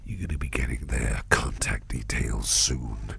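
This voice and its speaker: An extremely low, crackling male voice acted by a man plays a kindly boss in an ASMR roleplay. He speaks slowly in a gentle, breathy half-whisper, reassuring and doting. There is faint noise behind him. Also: full character voice. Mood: warm, reassuring. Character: kindly, reassuring businessman boss looking after a valued employee. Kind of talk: soft-spoken ASMR roleplay.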